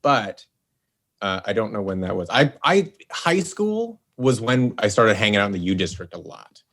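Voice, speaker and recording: A man's voice: fairly low pitch, big pitch swings, moderate pace, fairly bright tone. An American man from Seattle, talking casually as an interview guest. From Seattle, USA. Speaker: guest, voice actor and audio series producer. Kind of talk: casual chat.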